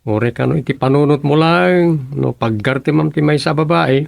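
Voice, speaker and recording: An actor playing a principled, mildly defensive husband with a Filipino Ilocano accent, explaining himself earnestly in a radio comedy drama. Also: male voice, fairly high pitch, normal speed, creaky, no room sound, clean studio sound.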